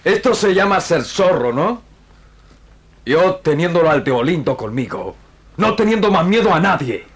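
An actor playing a proud, cunning chief in an old radio drama, bragging in broken, stylised grammar. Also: male voice, on the high side, big pitch swings, moderate pace. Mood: smug.

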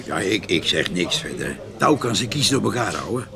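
A man's voice: sits low, very animated, a little fast, a crowd in the background. An actor playing a folksy, nosy old countryman in a radio play, muttering and repeating himself. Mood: sly, curious. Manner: hesitant, muttering, repetitive. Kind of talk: Dutch radio play acting.

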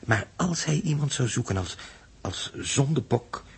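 An actor playing a coolly fatalistic, learned mathematician aboard a spaceship, lecturing in formal, pedantic phrases in a 1960s Dutch radio drama. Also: male voice, mid-range voice, animated, measured pace, creaky, husky, heavily acted. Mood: probing, suspicious.

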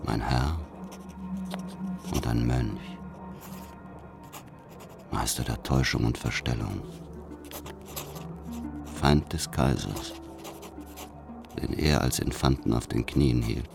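Very deep, gravelly brooding spy. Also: male voice, full character voice. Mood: brooding, ominous. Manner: measured, brooding, ominous. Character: grave, secretive male spy writing his diary. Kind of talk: radio drama monologue. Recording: music under the voice.

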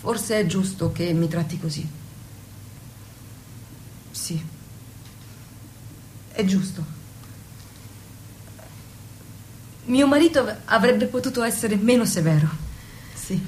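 A woman's voice: medium pitch, expressive, a little slow, long pauses, a little mellow, slight background noise, close to an everyday voice. A woman acting a devoted, innocent young wife in an intimate stage-style drama, earnest, submissive and self-blaming. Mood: resigned. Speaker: stage actress. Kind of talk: intimate theatrical radio drama.

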